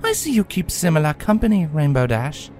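A man's voice: a little high, sing-song, darkish tone, faint music behind, voice pushed up. A man doing a purring, mocking mare tyrant. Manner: slow, purring, contemptuous. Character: regal scheming female alicorn tyrant, mocking.

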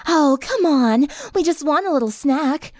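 Woman doing a high, cheerfully menacing vampire. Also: lively intonation, unhurried, darkish tone, no room sound. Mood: playful menace. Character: cheerful, menacing female vampire hungry for a snack.

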